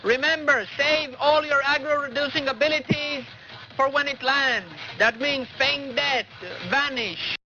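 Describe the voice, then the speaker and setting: A man's voice: very high voice, fairly even intonation, a little slow, highs cut off. A man leading an online game raid, angrily barking repetitive, profane orders over old voice chat. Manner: angry, profane, commanding, repetitive.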